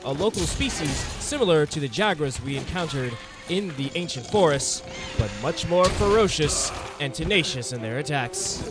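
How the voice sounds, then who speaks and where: A man's voice: a little high, lively intonation, moderate pace. A man narrating a monster-hunting game in the first person, in a dramatic storytelling manner, as a scripted adventure. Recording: music behind the voice.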